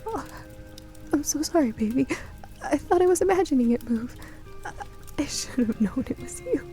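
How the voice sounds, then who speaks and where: A woman plays a heartbroken mother in a nostalgic audio drama. Her voice rises high with emotion and trembles on the edge of sobbing, over a music bed. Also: animated, normal speed, warm tone. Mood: tearful hope. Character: grieving mother, anguished then tearfully hopeful.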